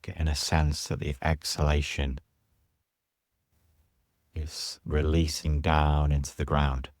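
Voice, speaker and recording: A man with a very deep, evenly pitched voice leads a guided meditation. He speaks slowly and soothingly, in short phrases with space between them, as a yoga teacher instructing a relaxation practice. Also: level tone, dry recording, studio quality.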